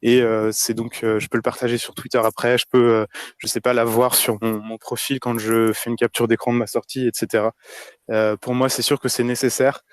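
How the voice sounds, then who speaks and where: Homme animateur, voix monotone, son sec, hésitant, nombreux « euh ». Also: male voice, mid-range voice, fairly quick, smooth, no room sound. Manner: filler-heavy, hesitant. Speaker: host of a community audio space. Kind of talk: casual commentary and chat.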